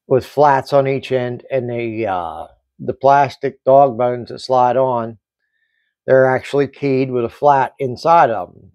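A middle-aged man giving a casual hands-on hobby review in halting, explanatory short phrases. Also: medium pitch, darkish tone, dry recording, dull audio. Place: small indoor hobby workbench.

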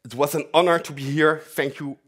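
A man's voice: fairly high pitch, fairly even intonation, a little fast, fairly bright tone, breathy edge. A man in his forties with a French accent, giving a chatty, self-mocking live demo talk on a conference stage. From half French, half Dutch. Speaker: conference speaker, developer advocate. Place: large conference hall stage.

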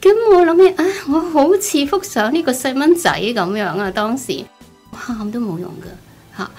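Cantonese-speaking woman, slightly creaky voice. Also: medium pitch, a little mellow. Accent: Hong Kong Cantonese. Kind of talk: personal testimony, conversational storytelling. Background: background music.

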